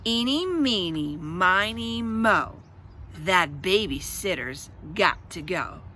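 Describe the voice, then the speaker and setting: A woman's voice: fairly low pitch, big pitch swings, takes it slowly, bright, forceful tone, a little hiss or hum, full character voice. Woman putting on a bratty, scheming little girl. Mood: sly chanting.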